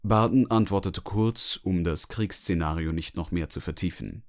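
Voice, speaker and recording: A man's voice: sits low, moderate pace. A man giving scripted literary narration as the narrator of a story.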